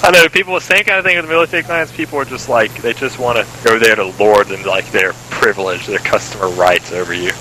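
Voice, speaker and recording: A man's voice: on the low side, quick pace, hardly any pauses, sharp tone, a little hiss or hum, rough recording. A young man chatting casually as he plays a video game with a friend. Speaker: gaming co-player.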